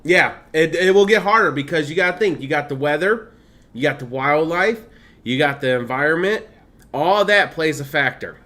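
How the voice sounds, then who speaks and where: A man's voice: a little high, animated, normal speed, sharp tone. A man in his thirties streaming a game, chatting casually with a mix of Midwestern, Southern and Californian accent, laughing often and reading on-screen text aloud. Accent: blended Midwestern, Southern and Californian. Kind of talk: casual gameplay stream commentary.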